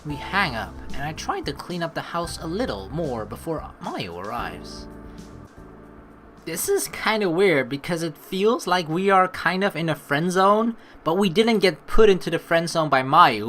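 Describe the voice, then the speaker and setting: A woman's voice: low-pitched, very animated, normal speed, fairly bright tone. A woman let's player reading game text aloud expressively, mixed with casual commentary. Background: game music.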